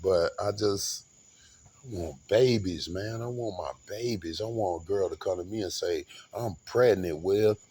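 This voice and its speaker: Man, forties, lowish voice, rambling, grandiose, restarts a lot. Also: measured pace, darkish tone, breathy edge. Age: 40s. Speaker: vlogger. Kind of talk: rambling monologue.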